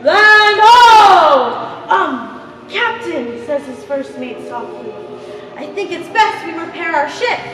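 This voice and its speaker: A woman's voice: very high-pitched, lively intonation, fairly bright tone, heavily acted. A woman playing a scornful, exasperated Queen of Spain in a theatrical stage monologue. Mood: wry storytelling. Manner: theatrical, scornful, deliberate delivery. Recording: echoey theater stage recording.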